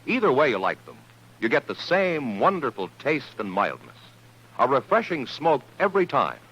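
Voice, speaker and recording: A man's voice: mid-range voice, sing-song, moderate pace, fairly bright tone, breathy edge. A man reading a 1950s radio advertisement as an announcer, with an upbeat, punchy salesman's delivery. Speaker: commercial announcer. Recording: dull vintage radio recording.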